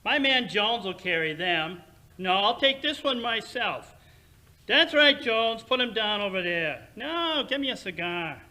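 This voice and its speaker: A man playing a blustering, imperious millionaire in an old-time radio drama, loud and agitated. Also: high-pitched, lively intonation, measured pace, exaggerated voice. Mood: bossy, irritated. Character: blustering, bossy steel millionaire in a panic, male.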